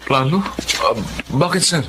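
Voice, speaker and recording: An actor playing a humble, hardworking family driver who speaks politely and stammers with deference. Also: male voice, on the high side, expressive, measured pace, noisy background. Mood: worried.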